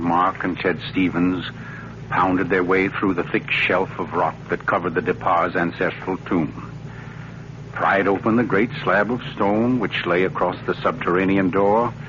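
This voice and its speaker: A man narrating a 1940s radio suspense story in a deliberate, dramatic manner. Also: deep voice. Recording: muffled, noisy old radio broadcast.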